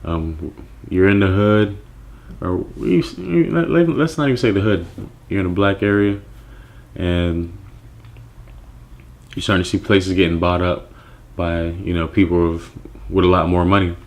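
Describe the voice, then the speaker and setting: Deep-voiced man telling his story. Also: unhurried. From Nigeria. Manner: reflective, relaxed, with many fillers and restarts. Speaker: clothing brand founder. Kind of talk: personal story monologue.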